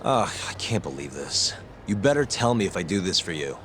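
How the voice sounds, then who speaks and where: An actor playing a wry, tough investigator in a video game, talking in a dry, casual, understated way. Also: male voice, low-pitched, sing-song, fairly quick, fairly bright tone, a little hiss or hum. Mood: reluctant, awkward. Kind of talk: video game cutscene acting.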